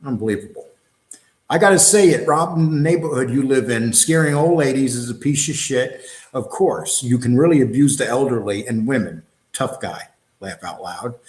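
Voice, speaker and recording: Male livestream host, echoing room sound, medium pitch, blunt, rambling, rhetorical questions. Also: moderate pace, darkish tone. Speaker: show host. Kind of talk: livestream talk, reading viewer comments.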